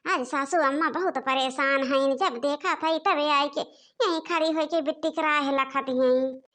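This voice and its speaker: A patient young daughter-in-law from a village cartoon, played by a woman. Her voice is high and has an Awadhi accent. She soothes and placates respectfully. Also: steady tone, unhurried, fairly bright tone. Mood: soothing. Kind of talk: animated comedy dialogue.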